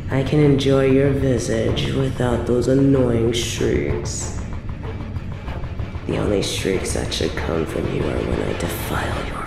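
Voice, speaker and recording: Slow, menacing evil queen. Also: rumbling low, vocal fry, echoey room, heavily acted. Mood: cruel delight. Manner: theatrical, menacing, mock-tender, drawn-out phrasing. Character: evil obsessive possessive queen, cruel, gloating, sadistic. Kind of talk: scripted audio roleplay drama, addressed to the listener.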